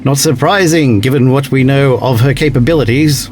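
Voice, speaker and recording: A man's voice: medium pitch, moderate pace, a little mellow, lightly acted. A man playing a troubled, dutiful space-fleet officer in a sci-fi audio drama, earnest and respectful but doubting. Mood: tense, skeptical.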